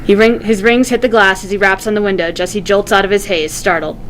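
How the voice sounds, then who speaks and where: A woman's voice: medium pitch, fairly even intonation, fairly quick, background noise. A woman reading scene directions as the narrator at a script table read.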